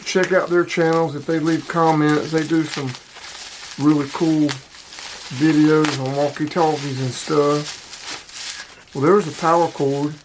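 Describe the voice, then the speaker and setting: A man's voice: on the high side, steady tone, a little slow, long pauses, noisy background. A man giving casual, hands-on unboxing commentary, informally thinking aloud as he handles the gear. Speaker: vlogger unboxing radio gear.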